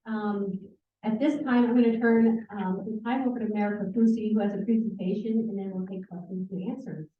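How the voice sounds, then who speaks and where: A woman's voice: mid-range voice, steady tone, normal speed. Woman chair, tinny and echoey. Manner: apologetic about her loud voice. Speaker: meeting chair. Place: city hall community room. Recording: tinny, echoey, far from the mic.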